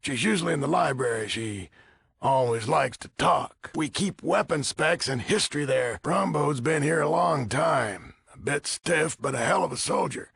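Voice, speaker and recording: Very gravelly, blunt old soldier. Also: male voice, a little high, animated, normal speed, fairly bright tone, no room sound, exaggerated voice. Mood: gruff, conversational. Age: older. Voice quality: gravelly, husky. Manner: blunt, folksy, swearing, easily exasperated. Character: gruff, blunt old soldier leader of a military order. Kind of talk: video game character dialogue.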